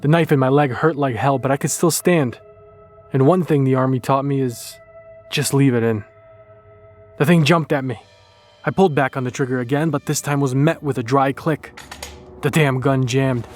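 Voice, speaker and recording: A man playing a grim, hardened wartime soldier, gravely narrating a horror audio drama. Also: mid-range voice, close to an everyday voice.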